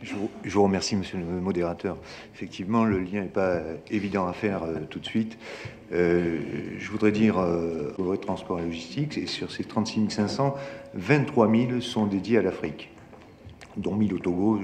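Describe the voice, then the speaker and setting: A man giving a formal business presentation as a panelist, speaking in a halting, hesitant way. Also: low-pitched, a little mellow. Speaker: corporate panelist. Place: large conference room at a forum. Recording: echoey hall, reverberant, some noise.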